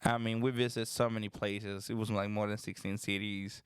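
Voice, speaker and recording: A man's voice: on the low side, moderate pace, clear, resonant tone, dry recording, studio quality. A young man from the Dominican Republic, rambling in casual chat as a podcast host. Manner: relaxed, rambling, says "bro".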